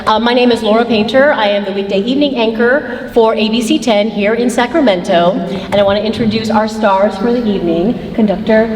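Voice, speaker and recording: A woman's voice: a little high, fairly quick, slight background noise. A woman news anchor hosting a pre-concert interview, bubbly and laughing often. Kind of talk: interview moderation. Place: concert hall stage. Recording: echoey hall, from a distance.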